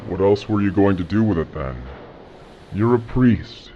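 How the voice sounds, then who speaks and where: A man acting a very deep, menacing crime boss. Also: lo-fi sound, slight background noise, lightly acted. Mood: smug threat. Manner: slow, deliberate, threatening. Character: menacing male gang leader, calm, commanding interrogator. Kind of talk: audio drama acting.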